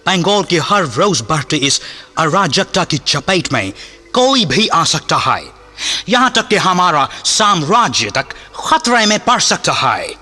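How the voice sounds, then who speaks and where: An actor playing a stern, pompous British colonial governor in a radio play, speaking deliberately in British-accented Hindi with English phrases. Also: male voice, a little high, bright, forceful tone, husky, heavily acted. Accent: British-style accented Hindi, put on. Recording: music behind the voice.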